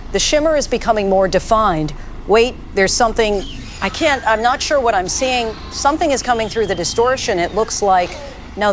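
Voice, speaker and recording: A woman playing a shaken TV news correspondent in an audio drama, reporting live in a halting way. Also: mid-range voice, a little hiss or hum, close to an everyday voice. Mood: uneasy. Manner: halting, stammering, disbelieving. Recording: faint vehicle and outdoor ambience.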